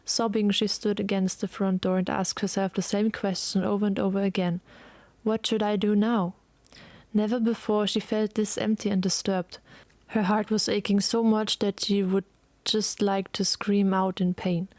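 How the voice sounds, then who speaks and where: A woman narrating a scripted dramatic story in a measured, solemn way. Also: medium pitch, little pitch movement, darkish tone, dry recording.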